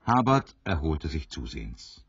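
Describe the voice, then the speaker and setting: Male narrator for an audio drama, very low and husky, close dry sound, slightly dull. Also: big pitch swings, measured pace, fairly bright tone, dry recording. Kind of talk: scripted storybook narration for a radio play.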